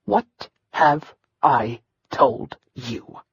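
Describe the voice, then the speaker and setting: A woman voicing a brutal male military commander who talks slowly and coldly, full of menace. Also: a little high, lively intonation, muffled recording, slight background noise. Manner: slow, menacing and cold.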